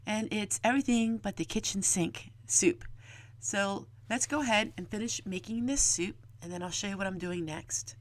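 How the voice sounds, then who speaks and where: A woman's voice: mid-range voice, moderate pace. A middle-aged woman narrating a homemaking vlog, explaining chores and cooking in a plain-spoken, folksy way. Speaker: homesteading vlogger and host.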